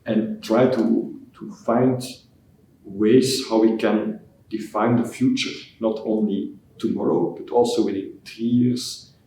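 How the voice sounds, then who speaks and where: A man from Belgium, a scientist answering interview questions in a halting way, often repeating words as he searches for phrasing. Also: on the low side, fairly even intonation, dark tone. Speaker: scientist giving concluding remarks. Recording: echoey hall, mic at a distance, faint noise.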